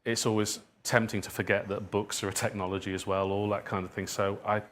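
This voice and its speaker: A man answering audience questions as a writer at a talk, thinking aloud in a halting, self-deprecating way. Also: lowish voice, flat delivery, a bit bright, slight background noise. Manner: reflective, halting, hedging with self-deprecating asides. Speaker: guest speaker, writer and dramatist.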